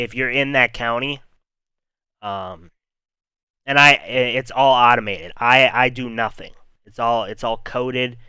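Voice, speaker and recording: A man with a calm, rambling way of talking, hosting an unscripted live weather stream and speaking to his viewers. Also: mid-range voice, clean tone, dry recording. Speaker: livestream weather host.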